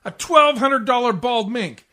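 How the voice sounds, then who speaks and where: A man's voice: extremely high pitch, lively intonation, measured pace, bright, forceful tone, heavily acted. Man playing an exasperated, henpecked radio husband. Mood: indignant, aggrieved. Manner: indignant, complaining. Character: exasperated henpecked husband, bickering and self-pitying. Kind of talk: 1940s radio comedy acting.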